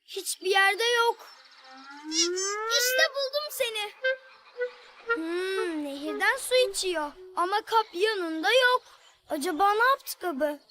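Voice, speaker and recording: A woman's voice: very high voice, takes it slowly, pauses often, full character voice. A woman voicing a cheerful, curious little girl in a children's cartoon, talking expressively to her animal friends. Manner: expressive, talks aloud to her pets.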